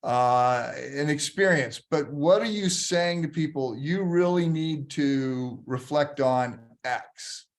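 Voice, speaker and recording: A man's voice: a little high, slow, hardly any pauses. A man in his sixties hosting an interview, telling anecdotes and asking layered follow-up questions in a casual chat. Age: 60s. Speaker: interview host.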